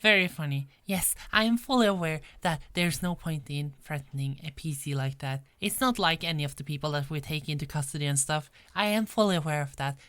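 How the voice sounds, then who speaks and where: A woman's voice: sits low, a little fast, few pauses, a bit bright, no room sound. A woman playing a frazzled but friendly police detective in an ASMR roleplay, with a hesitant, stammering delivery that often repeats words. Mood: sarcastic. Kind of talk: ASMR roleplay, one-sided dialogue.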